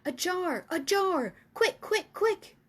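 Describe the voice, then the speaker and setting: A woman voicing a big, excitable male giant from a storybook who cries out in mangled words. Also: extremely high pitch, takes it slowly, pitched above the performer's own voice, full character voice. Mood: excited.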